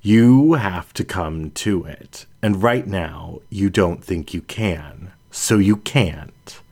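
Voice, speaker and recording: A man voicing a calm, kindly adult lioness witch in a story reading, speaking in a measured, earnest and gentle way. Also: low voice, husky, exaggerated voice. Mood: earnest, solemn.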